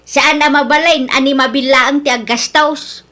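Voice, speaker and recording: Actress doing a bossy suspicious mom in a radio drama, high forceful voice, always scolding. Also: female voice, steady tone, normal speed. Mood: demanding. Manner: scolding, nagging. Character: suspicious, money-minded middle-aged mother, sharp and demanding.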